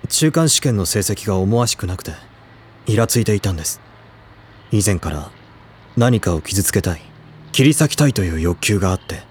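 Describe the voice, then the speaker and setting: A reserved high-school boy with a low voice. He speaks politely, earnestly and formally in an audio drama, with some background noise. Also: darkish tone. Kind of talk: anime-style audio drama acting.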